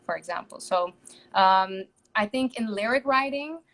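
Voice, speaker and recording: A woman's voice: medium pitch, unhurried, a bit bright. A young woman from the Netherlands with a Dutch accent, a singer chatting casually as a guest in a live-streamed interview, laughing lightly between thoughts.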